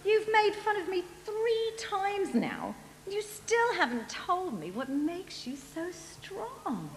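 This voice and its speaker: A woman's voice: a little high, sing-song, takes it slowly. A woman playing a coaxing, pouting, nagging temptress in a staged Bible story reading. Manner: theatrical, coaxing, crying out shrilly. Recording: echoey hall, background noise.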